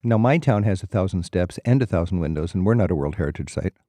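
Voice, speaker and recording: A man's voice: low voice, lively intonation, quick pace, dark tone, no echo. A man hosting a radio travel call-in show, chatty and leading his guests with questions.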